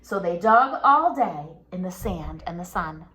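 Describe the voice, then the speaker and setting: A woman reading a picture book aloud for young children in an expressive, singsong way, pausing to ask questions like a pre-K teacher. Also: fairly low pitch.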